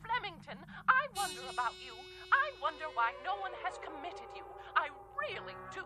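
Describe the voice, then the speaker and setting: High-voiced, scornful, exasperated wife. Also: female voice, very animated, brisk, bright, forceful tone, soft background music, full character voice. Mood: weary, pitying. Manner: cutting, formal, sardonic phrasing. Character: exasperated, scornful wife, sharp-tongued and proud. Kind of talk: theatrical radio drama acting.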